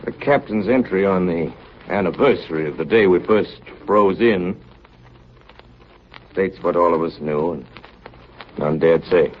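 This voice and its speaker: An actor playing a grave ship's officer narrating an Arctic expedition log in a measured, somber 1930s radio drama style. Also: male voice, deep voice, slight background noise. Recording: dull 1930s radio broadcast with music.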